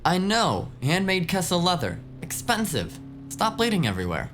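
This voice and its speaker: A man's voice: high-pitched, huge pitch swings, normal speed, fairly bright tone, soft background music, heavily acted. A man playing a wisecracking, streetwise hacker sidekick in a cyberpunk audio drama, talking in a casual, slangy, flippant way. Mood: teasing, brisk.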